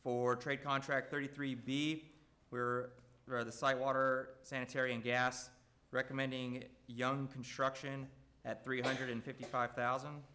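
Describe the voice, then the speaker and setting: A man from a construction company presenting bid recommendations at a school board meeting, speaking loudly and steadily with frequent fillers. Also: medium pitch, a little slow. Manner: loud, steady, even delivery with frequent "uh".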